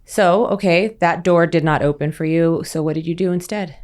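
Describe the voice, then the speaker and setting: A woman's voice: on the low side, moderate pace, darkish tone. A woman hosting an interview podcast, asking eager questions in a friendly, conversational way. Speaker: podcast host.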